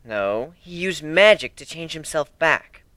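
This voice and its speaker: Storybook female cat adventurer , done by a reader; friendly, protective, sincere, lively pitch. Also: a little slow, dry recording. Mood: deadpan. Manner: earnest, defensive.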